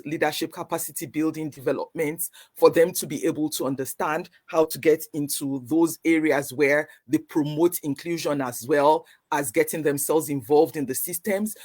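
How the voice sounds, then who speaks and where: Male panelist, slightly high pitch, earnest, halting with restarts, on a video call. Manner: earnest, many fillers and restarts. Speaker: community organization panelist. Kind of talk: panel conversation answer.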